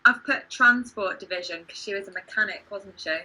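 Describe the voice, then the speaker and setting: Casting: woman, forceful bright tone with a raspy edge, casual contestant chat. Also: mid-range voice, fairly quick, low-quality recording. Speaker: quiz contestant.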